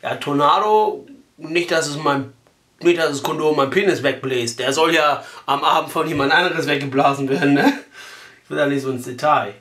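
A man's voice: a little high, moderate pace, fairly bright tone, roomy sound. A man in his twenties talking casually as a prank caller, brash and crude, with a mock-earnest colloquial way of speaking. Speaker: prank call host. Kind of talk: casual prank phone call. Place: small living room, indoors.

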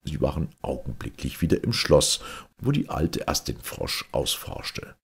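A man with a very husky, low voice narrates a fairy tale. His delivery is slow, dramatic and deliberate, with a gravelly edge and huge swings in pitch. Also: sing-song. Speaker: storyteller, audiobook reader.

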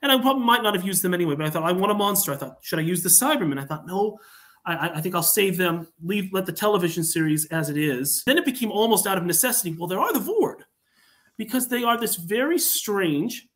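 A man talking enthusiastically as a writer guest on an online panel chat, telling his story in an animated way. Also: high-pitched, fast. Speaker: podcast guest, fan writer. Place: remote online call.

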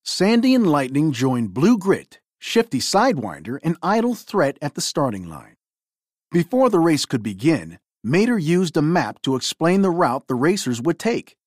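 A woman reading a children's storybook aloud in an expressive, theatrical way. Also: deep voice, normal speed, dry recording, clean studio sound. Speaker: storybook reader.

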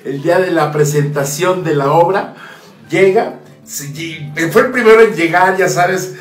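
Animated middle-aged comedian in an echoey room. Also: male voice, on the high side, unhurried, distant mic. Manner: expressive, jumps between character voices. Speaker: stand-up comedian. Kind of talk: animated joke telling. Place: home office with brick wall. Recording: echoey room, mic some way off, faint music.

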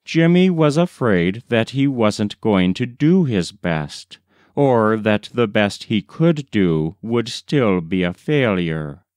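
Male audiobook narrator for literary fiction: lowish, clear resonant voice, measured delivery, dry recording. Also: sing-song, a little mellow, no echo. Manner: measured, deliberate storytelling. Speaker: audiobook reader. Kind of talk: scripted literary narration of a short story.

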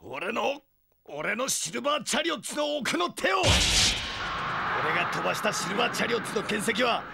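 Hot-blooded heroic fighter, gravelly and very animated, melodramatic anime audio drama delivery. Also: male voice, high-pitched, normal speed, over loud music, full character voice. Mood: desperate, straining. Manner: impassioned, melodramatic.

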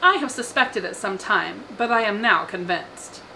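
A woman's voice: medium pitch, expressive, gravelly, sharp tone, a little hiss or hum, full character voice, rough recording. Woman playing a dry, sardonic middle-aged father. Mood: scornful. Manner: sarcastic, formal, measured wording. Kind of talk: audiobook character voice.

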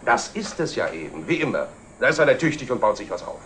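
An actor playing a disillusioned, complaining middle-aged works employee in a 1960s German TV drama. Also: male voice, mid-range voice, very animated, quick pace, a bit bright. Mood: disgruntled. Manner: complaining, matter-of-fact. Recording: hissy old TV film soundtrack.

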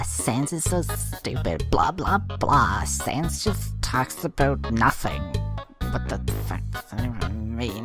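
A woman's voice: extremely low pitch, exaggerated voice. A woman voicing a catty, prim school-meeting woman in a cartoon comic dub, snide and dramatic. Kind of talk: comic dub character acting. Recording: music behind.

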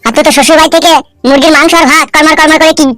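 A man acts out a down-on-his-luck cartoon villager with an extremely high voice. He rattles along in a rural West Bengal accent, whining, cursing and repeating himself in self-pity, with clear music behind him. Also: little pitch movement, very fast. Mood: aggrieved. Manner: whining, ranting, repetitive. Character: homeless, self-pitying, foul-mouthed village man. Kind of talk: comedy cartoon dialogue.

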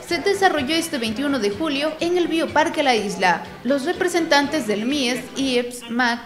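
A woman reporter reading a formal, measured news voice-over from a script. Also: sits high, lively intonation. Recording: voice-over with loud music bed.

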